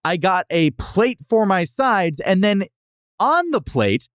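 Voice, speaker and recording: A man's voice: high voice, very animated, takes it slowly, clean tone, no room sound, studio quality. A man co-hosting a casual comedic restaurant review, talking emphatically with slangy exaggeration. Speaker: podcast co-host reviewing restaurants.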